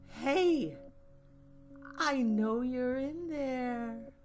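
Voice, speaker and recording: A woman's voice: high-pitched, a little mellow, soft background music. A woman playing a vengeful aunt turned tree monster in a horror story, whining and wailing in a nasal screech with pulpy words. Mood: wailing demand. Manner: wailing, drawn-out accusations.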